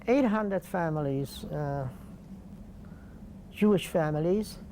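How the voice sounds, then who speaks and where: Slow-spoken older man. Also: on the high side, mellow, slight background noise. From Poland. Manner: slow, deliberate, halting with pauses. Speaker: interviewee. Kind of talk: oral history interview.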